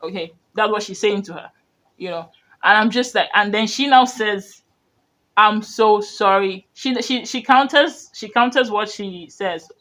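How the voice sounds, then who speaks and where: High-voiced man. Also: unhurried, pushed and bright, rough recording. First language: Yoruba. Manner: rambling, earnest, full of "you know" and restarts. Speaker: media commentator. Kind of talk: reaction commentary on video clips.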